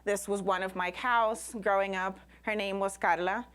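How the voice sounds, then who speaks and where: A woman's voice: mid-range voice, fairly even intonation, normal speed, a bit bright. Emphatic woman giving a talk. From Puerto Rico. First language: Spanish. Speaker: invited speaker, science communicator. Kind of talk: live public presentation with audience questions.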